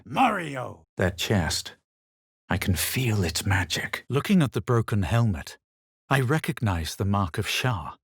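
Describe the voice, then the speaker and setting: Husky, haughty fantasy adventurer. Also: male voice, lowish voice, no room sound, studio quality, heavily acted. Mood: forceful incanting. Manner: measured, literary, self-assured delivery. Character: haughty young male fantasy adventurer, coolly superior. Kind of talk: video game character narration and barks.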